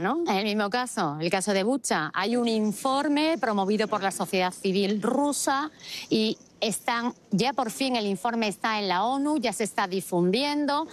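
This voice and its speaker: A woman giving opinionated political commentary as a host, arguing emphatically with rhetorical questions and repeating phrases for stress. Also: fairly high pitch. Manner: argumentative, uses rhetorical questions.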